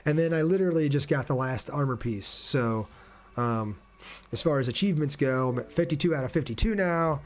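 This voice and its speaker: Guy streaming a game, just chatting with viewers, reads comments and answers, normal mid pitch voice. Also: fairly quick. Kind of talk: casual livestream chat over gameplay.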